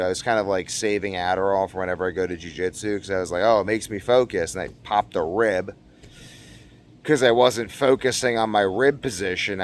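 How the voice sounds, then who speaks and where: A young man talking casually to camera in a rambling, slangy vlog monologue, animated and given to acting out what people said. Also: sits low. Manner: animated, exclamatory, slangy, acts out quotes. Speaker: vlogger.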